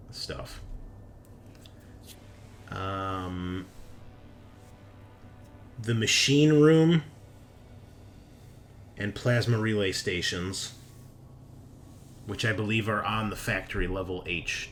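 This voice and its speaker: A man narrating casually as game master of a tabletop game, haltingly, as if thinking aloud. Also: low-pitched, animated, a bit bright, slight background noise.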